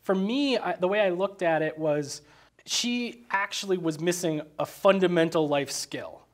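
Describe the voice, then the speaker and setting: A man with a fairly high voice talks casually about a film. He works out his thoughts aloud, circling back and restarting in a halting, exploratory way.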